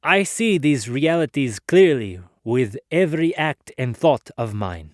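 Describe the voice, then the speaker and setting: A man acts out an earnest male inventor reflecting on his life and ideas in a dramatic biography. His delivery is measured, formal and oratorical, at an average male pitch. The sound is dry, with no room echo. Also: very animated. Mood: reflective, earnest. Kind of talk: dramatic biography narration, formal first-person monologue.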